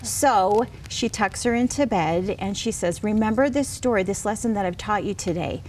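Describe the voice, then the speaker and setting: A woman's voice: medium pitch, normal speed, a little mellow. Earnest woman guest with noise behind her. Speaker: interview guest, children's book author. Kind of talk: conversational TV interview, personal storytelling.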